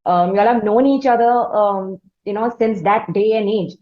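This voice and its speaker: A woman with an Indian accent hosting an interview, building up wordy, halting questions in a conversational way. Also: medium pitch. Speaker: interviewer, host. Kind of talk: conversational long-form interviewing. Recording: slightly dull, lo-fi audio.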